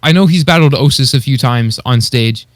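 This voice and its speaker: A man's voice: medium pitch, normal speed, no room sound. A man co-hosting a podcast, chatting casually and giving informal, appreciative opinions. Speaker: podcast co-host.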